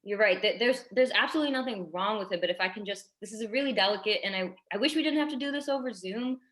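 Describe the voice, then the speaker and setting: A woman's voice: mid-range voice, fast, fairly bright tone, close to an everyday voice. A woman playing an earnest, tactful schoolteacher in her twenties in a staged drama reading, speaking hesitantly and delicately. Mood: careful, reassuring.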